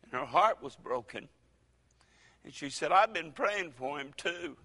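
Older male pastor, folksy sermon delivery, forceful bright tone, long church reverb. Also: on the high side, expressive. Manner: folksy preaching with dramatic pauses and sudden loud rises.

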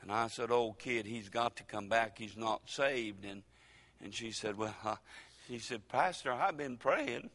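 An older man preaches in a drawl, folksy and full of dramatic pauses that break into sudden loud rises. His voice is bright and forceful. It rings out with a long reverb through a church hall. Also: medium pitch. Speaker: pastor. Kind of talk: sermon.

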